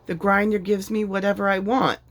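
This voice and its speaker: A woman voicing a sweet, plucky female mouse in a storybook, light and gentle. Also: medium pitch, level tone, a little fast, voice pushed up, close to an everyday voice. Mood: defensive. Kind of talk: storybook read-aloud character voice.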